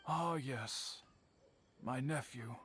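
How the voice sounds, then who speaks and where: An actor playing an earnest older master swordsmith in a video game, speaking in a formal, measured way. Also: male voice, medium pitch, fairly bright tone, husky, faint music behind, full character voice. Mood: grave, puzzled. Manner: formal, measured, deliberate phrasing. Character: earnest older male master swordsmith, worried dignified uncle. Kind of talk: scripted video game voice acting.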